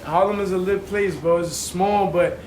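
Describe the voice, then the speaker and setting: Young New York man, higher voice, echoey room. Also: fairly even intonation, moderate pace, a little mellow. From New York City. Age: young adult. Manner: slangy, frequent check-in tags. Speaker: podcast guest. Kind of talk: casual conversational interview. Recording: roomy echo, clear background noise.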